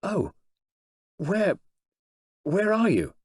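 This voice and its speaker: A male narrator plays a flustered young wife in an audiobook. The voice is lifted and husky, halting and nervous, recorded dry. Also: sits high, very animated, dry recording, pitched above the performer's own voice, full character voice. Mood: startled, tense. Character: anxious, flustered young married Englishwoman.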